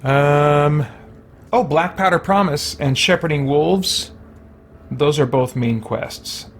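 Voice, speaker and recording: A man's voice: a little high, takes it slowly, slight background noise. Casual male game streamer thinking aloud. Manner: thinking aloud, musing to himself. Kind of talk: casual gameplay commentary.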